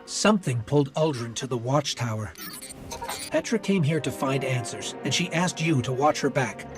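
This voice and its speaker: Watchful male game companion over loud music, acted. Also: mid-range voice, expressive, normal speed, close to an everyday voice. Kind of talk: video game acting.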